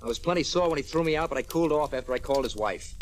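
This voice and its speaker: A man's voice: mid-range voice, expressive, racing, natural voice. A man playing an indignant, jumpy, protective brother in a 1940s radio drama, urgent and agitated. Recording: noisy old radio recording.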